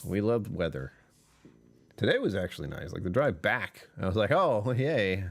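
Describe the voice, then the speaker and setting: Tired male streamer, very low voice, chuckling, rambling casual chat. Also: very animated, moderate pace, gravelly. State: tired, voice lower than usual. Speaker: livestream host.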